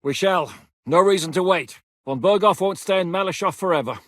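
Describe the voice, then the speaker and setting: An actor playing a gruff, blunt, sweary rebel leader in a video game, giving curt orders. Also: male voice, sits high, fairly quick, fairly bright tone, no room sound, studio quality. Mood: commanding. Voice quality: gruff, commanding. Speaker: game character.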